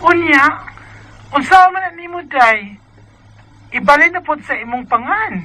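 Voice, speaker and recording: A woman's voice: high-pitched, expressive, measured pace, pushed and bright, heavily acted, low-quality recording. A woman with a Filipino Visayan accent acting a concerned, practical confidante in a radio drama. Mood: concerned, advising.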